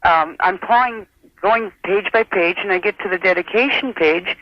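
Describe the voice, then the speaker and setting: A woman's voice: mid-range voice, normal speed, no room sound. An American woman calling a radio show, chatting and rambling as she tells her story over the phone. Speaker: caller. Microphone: telephone. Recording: dull lo-fi telephone call on radio.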